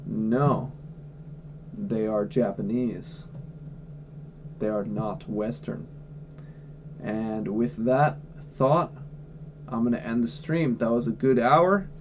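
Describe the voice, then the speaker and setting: A man's voice: medium pitch, takes it slowly, pauses often, background noise. A man livestreaming from home, answering chat questions in a blunt, offhand way and swearing freely. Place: at home indoors.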